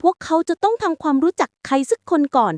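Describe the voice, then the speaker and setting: A woman reading a bedtime story aloud in a slow, soothing way. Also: very high voice, sing-song, a little mellow, no echo, clean studio sound.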